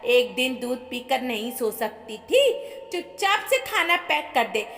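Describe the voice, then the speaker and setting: A woman's voice: on the high side, sing-song, unhurried, gravelly, a bit bright, a little hiss or hum, exaggerated voice. A woman storyteller voicing a bossy, stingy old mother-in-law who taunts and commands in a family storybook tale. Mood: harsh scolding. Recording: long reverberant echo on voice.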